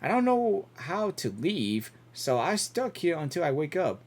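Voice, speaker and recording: Animated game streamer with a lively pitch. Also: a little slow, slight background noise. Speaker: game streamer reacting to a story quest. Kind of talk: casual reaction commentary, reading game dialogue aloud.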